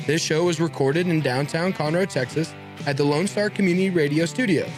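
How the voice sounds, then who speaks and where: A man with a fairly high voice reads a scripted radio station promo. Plucked guitar music plays clearly behind him. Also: fairly quick. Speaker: community radio station announcer.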